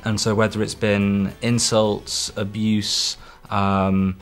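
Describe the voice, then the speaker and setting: A man speaking earnestly in a documentary interview, reflective and halting with many ums. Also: fairly low pitch, little pitch movement, faint music behind. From Britain. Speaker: emigrating husband.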